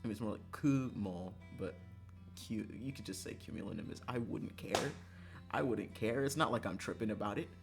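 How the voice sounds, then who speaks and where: A livestreamer chatting casually to viewers in an animated, exasperated way, swearing and laughing often. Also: mid-range voice, normal speed, gravelly, music in the background. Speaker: livestreamer, gaming streamer.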